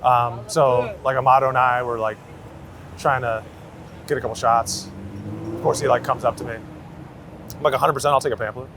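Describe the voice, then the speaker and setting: A man's voice: medium pitch, steady tone, moderate pace, long pauses. A man talking casually in slangy, anecdotal style, as a street photographer being interviewed outdoors in the city. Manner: slangy, anecdotal, hedging with "like" and "you know". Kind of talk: casual chat. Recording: city street noise, traffic around.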